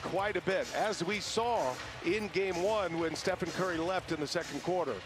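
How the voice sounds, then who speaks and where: A man's voice: high voice, moderate pace. A man calling live basketball play-by-play as a game announcer. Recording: loud arena noise, bouncing basketball. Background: loud basketball arena ambience.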